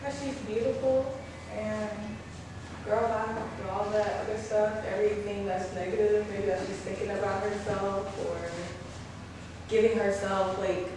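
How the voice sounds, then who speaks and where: Slow-talking woman, distant and echoey. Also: medium pitch, little pitch movement. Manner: halting, informal. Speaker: actor reflecting on her character. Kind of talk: casual reflective talk. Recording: distant, echoey room with clear noise.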